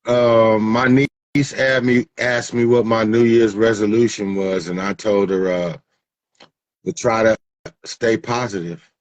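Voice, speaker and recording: A man's voice: on the low side, fairly even intonation, measured pace. A man in his fifties chatting casually as an interview guest, plain-spoken and slangy. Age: 50s. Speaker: music producer, interview guest. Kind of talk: casual chat.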